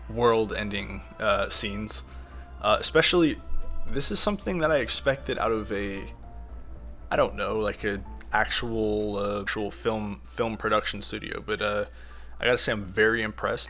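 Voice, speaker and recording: A man giving casual, halting reaction commentary, often repeating phrases as he watches. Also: on the low side. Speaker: host reacting to horror videos. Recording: loud music behind the voice. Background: loud soundtrack of the video being watched.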